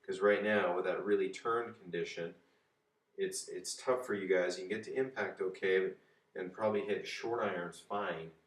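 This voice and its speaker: A man in his forties, hoarse from a cold, casually giving golf swing tips while demonstrating at home. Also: deep voice, steady tone, normal speed. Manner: easygoing, demonstrating swing positions while talking. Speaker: golf coach. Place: home kitchen and dining room, indoors. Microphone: far camera. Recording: echoey room, voice from a distance.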